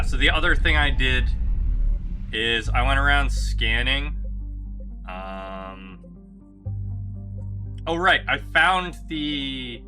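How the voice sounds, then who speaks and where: A man's voice: mid-range voice, pushed and bright. A man streaming a video game, chatting casually and playfully while reading and answering chat. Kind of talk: casual let's-play commentary.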